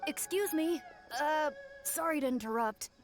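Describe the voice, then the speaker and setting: A woman's voice: high voice, unhurried. A woman voicing a small woodland spirit creature in an anime video game, polite, earnest and slightly hesitant. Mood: hesitant, worried. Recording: faint music behind the voice.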